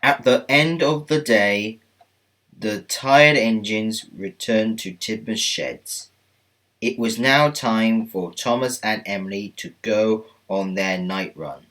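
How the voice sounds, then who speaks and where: A man reading a children's story aloud as a read-along, in a deliberate, expressive storytelling manner. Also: on the low side. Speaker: storybook narrator. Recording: music bed behind the voice. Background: music.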